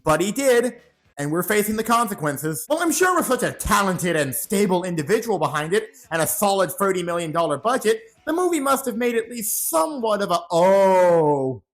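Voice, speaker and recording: Sarcastic, animated movie-review narrator man. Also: high voice, moderate pace. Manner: sarcastic, deadpan asides with sudden dramatic reactions. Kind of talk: comedic scripted video review narration.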